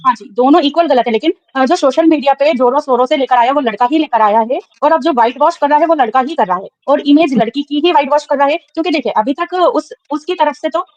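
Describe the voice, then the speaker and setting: A woman's voice: sits high, fast talker, hardly any pauses, no echo. A woman speaking as a guest on a live group discussion, politely insistent, sprinkling English words into her speech. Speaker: guest caller joining a live panel. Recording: dull lo-fi online call audio.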